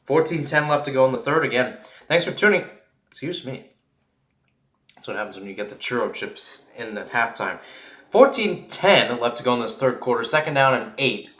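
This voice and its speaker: A man calling live American football play-by-play in an excitable radio style, mixing big calls with casual asides. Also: medium pitch, normal speed. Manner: excitable, calls surging plays loudly then drops back to casual asides. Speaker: play-by-play sports commentator. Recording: roomy echo on the voice.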